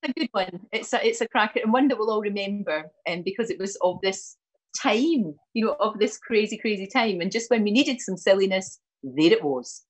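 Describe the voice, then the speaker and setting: Scottish woman, TV host, husky voice, effusive and chatty. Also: medium pitch, lively intonation, a little fast, low-quality recording. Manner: warm, effusive, repeats words. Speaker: morning TV host. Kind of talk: TV interview chat.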